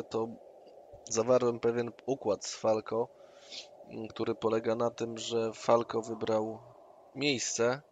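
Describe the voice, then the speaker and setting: A man with a mid-range voice talks his companion round in an online tabletop game. He is coaxing and persuasive and keeps repeating the other man's name. Faint noise sits under the session audio. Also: medium pitch. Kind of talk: in-character roleplay, persuasive schemer.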